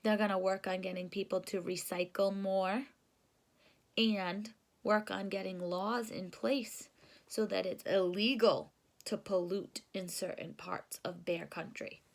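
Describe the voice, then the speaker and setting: A woman reading a children's picture book aloud in a deliberate, teacherly way, stopping to put questions to young listeners. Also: mid-range voice, steady tone, gravelly. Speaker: read-aloud storyteller for children.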